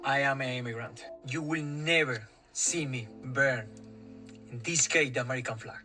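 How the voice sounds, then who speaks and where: A man's voice: medium pitch, moderate pace, bright, forceful tone, faint music behind. A man speaking emphatically in broken English.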